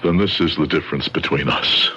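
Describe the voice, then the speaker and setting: Proud, grieving avenger in radio melodrama; emphatic, declamatory delivery, muffled old recording with light hiss. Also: male voice, very deep voice, fairly quick, bright, forceful tone. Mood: solemn, demanding. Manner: declamatory, theatrical, repeating phrases with heavy emphasis. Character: vengeful, grieving man, proud and self-righteous. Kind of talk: old-time radio drama acting.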